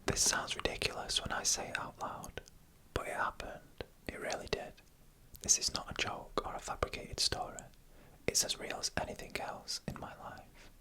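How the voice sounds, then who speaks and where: A narrator whispering eerie first-person stories in ASMR style, in a hushed, deliberate, suspenseful manner.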